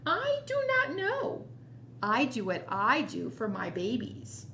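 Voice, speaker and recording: A woman reading a storybook as a motherly, gently flustered female rabbit. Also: a little high, wildly swooping pitch, takes it slowly, a little hiss or hum, full character voice. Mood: flustered, gentle. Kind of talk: storybook read-aloud.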